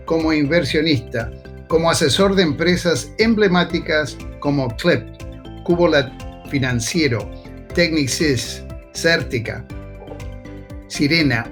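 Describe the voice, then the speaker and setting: A man reading a scripted introduction as a podcast announcer. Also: a little high. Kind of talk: scripted introduction read aloud.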